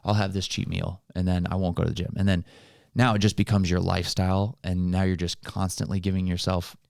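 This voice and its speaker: A man's voice: deep voice, flat delivery, brisk, creaky, a little mellow, no room sound, studio quality. A man hosting a casual motivational conversation, explaining things in an encouraging, coaching way. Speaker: podcast host.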